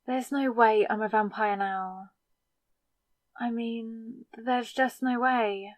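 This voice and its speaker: A woman playing a newly turned vampire, sarcastic and rattled, in a conversational, exasperated audio roleplay with hesitant pauses. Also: fairly high pitch, steady tone, no room sound, studio quality. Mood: incredulous, upset. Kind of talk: scripted ASMR audio roleplay.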